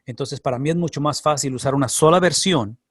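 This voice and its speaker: A man's voice: mid-range voice, a little fast, fairly bright tone, no room sound, clean studio sound. A man who is a pastor and Bible teacher, answering class questions in an explanatory way, repeating phrases for emphasis. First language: Spanish.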